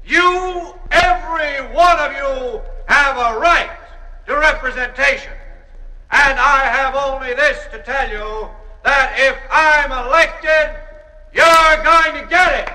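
An actor playing a political candidate speechmaking over a loudspeaker in a 1940s radio drama. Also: male voice, very high-pitched, takes it slowly, heavily acted. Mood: oratorical. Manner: oratorical, speechmaking. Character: smooth male political candidate at a rally. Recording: far-off echoey loudspeaker sound.

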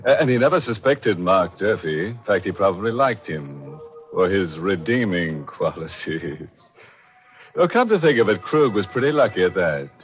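Deep, ghoulish mystery host. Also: male voice, big pitch swings, normal speed. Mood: ghoulishly amused. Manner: darkly amused, mock-sympathetic. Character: ghoulishly tongue-in-cheek male horror show host. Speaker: show host. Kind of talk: 1940s radio mystery hosting. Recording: muffled old radio recording with music.

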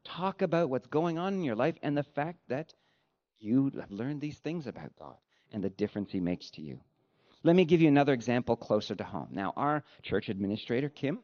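A man delivering a sermon as a pastor, speaking in an earnest, conversational way with deliberate phrasing. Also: mid-range voice, mellow. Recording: dull, lo-fi sound.

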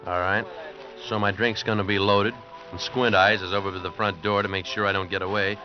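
An actor playing a tough, wry American fugitive with clipped delivery in a vintage radio adventure drama. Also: male voice, lowish voice, level tone, a little fast, close to an everyday voice. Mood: wary, gruff. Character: hard-boiled American fugitive man narrating his own adventure. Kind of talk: 1950s radio drama acting and narration. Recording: dull vintage radio recording with music.

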